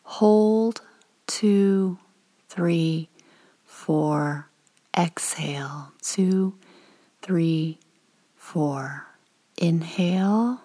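A woman with a fairly low, soft voice guides a meditation. She speaks very slowly and calmly, leaving space between gentle instructions. Her tone is dark and relaxed, turning breathy at times. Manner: calm, gentle, soothing instruction with pauses.

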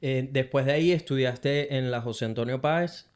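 A man with a Venezuelan accent hosting a casual podcast interview, animated, slangy and quick to laugh. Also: mid-range voice. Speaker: podcast host.

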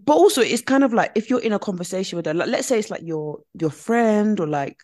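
A woman's voice: medium pitch, expressive, fast talker, darkish tone. A young woman in casual podcast chat, talking with lots of "like" fillers and acting out what people say. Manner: chatty, many "like" fillers, acts out quoted people.

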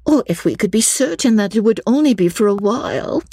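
A woman's voice: medium pitch, lively intonation, a little fast, mellow, husky, no echo, clean studio sound, voice pushed up. A woman voicing a sly, jealous young woman in a classic novel, effusive and mannered, confiding as she schemes. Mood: anxious, scornful. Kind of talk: classic novel character dialogue.

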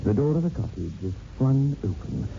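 An actor playing a sinister, ghoulish horror host, narrating slowly and theatrically in an old-time radio show. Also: male voice, medium pitch, very animated, vocal fry, mellow, slight background noise. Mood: ominous. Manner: slow, menacing, theatrical. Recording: muffled old radio recording with music.

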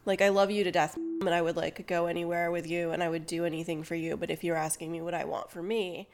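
Female, slightly low register, candid personal talk, colloquial and emphatic with repeated phrases. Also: flat delivery, fast talker. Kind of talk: casual personal conversation.

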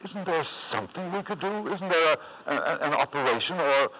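Casting: man playing doting, ambitious father, very animated, stammers when worried, old muffled radio broadcast. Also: a little high, brisk, natural voice. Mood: anxious. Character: anxious, ambitious doting father, shopkeeper, also narrating his past. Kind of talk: 1950s radio drama acting.

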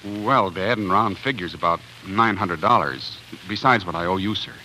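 Young homesteading husband, low forceful voice, earnest, 1940s radio acting, faint old-recording noise. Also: male voice, moderate pace, rough recording. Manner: earnest, forthright.

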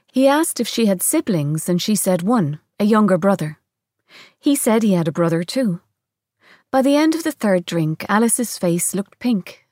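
A woman's voice: mid-range voice, darkish tone, dry recording, studio quality. A woman reading literary fiction aloud as scripted narration.

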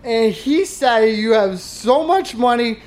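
Slow, pleading debtor in trouble. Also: female voice, a little high, lively intonation, a little mellow. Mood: desperate pleading. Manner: halting, pleading, groaning. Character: desperate debtor pleading and lying to enforcers. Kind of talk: audio drama acting.

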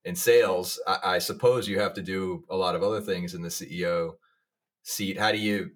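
A man's voice: low-pitched, fairly even intonation, normal speed. A man hosting an interview, asking loose, meandering questions. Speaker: interview host.

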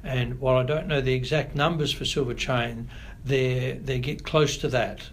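Measured Australian man, unhurried. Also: medium pitch, fairly even intonation, a little hiss or hum. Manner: measured, thoughtful, pauses often. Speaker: guest, family doctor. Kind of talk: podcast interview.

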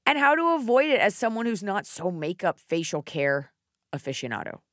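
A woman's voice: medium pitch, very animated, moderate pace, a bit bright, no room sound. A woman hosting a podcast in a studio, chatting casually in a joking, candid way. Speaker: podcast host. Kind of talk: casual chat.

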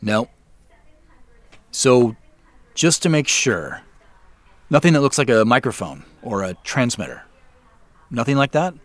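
A man's voice: mid-range voice, very animated, a little slow, pauses often, no echo. An actor playing a wry, guarded man in an audio drama, talking in a dry, laid-back, natural way. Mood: polite, casual. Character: wry, guarded man who suspects he is being spied on.

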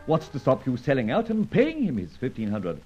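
Theatrical, swooping gentleman adventurer. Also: mid-range voice, wildly swooping pitch, moderate pace, husky, faint music behind. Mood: concerned. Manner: jaunty, theatrical, clipped gentlemanly phrasing. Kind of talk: old radio serial acting with first-person narration. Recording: muffled old radio serial recording.

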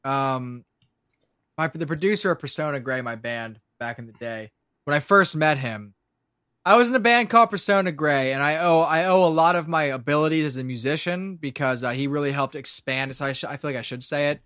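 A man's voice: a little high, fairly quick, pushed and bright, clear, resonant tone, dry recording. Rambling young man on a dry mic. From Pennsylvania. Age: 20s. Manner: rambling, swears, restarts a lot. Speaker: podcast host. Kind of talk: casual podcast chat.

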